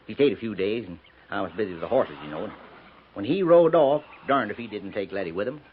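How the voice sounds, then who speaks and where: Tinny noisy old radio, low-pitched actor as rambling old American station keeper, folksy, lonely, western drama. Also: male voice, lively intonation, quick pace, close to an everyday voice. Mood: wistful rambling. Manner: folksy, rambling, hesitant drawl.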